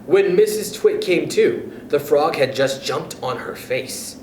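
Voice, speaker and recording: Animated, slow-paced male storyteller. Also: on the high side, distant mic. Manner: animated, expressive. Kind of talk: children's storytime read-aloud. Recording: roomy echo with faint background noise.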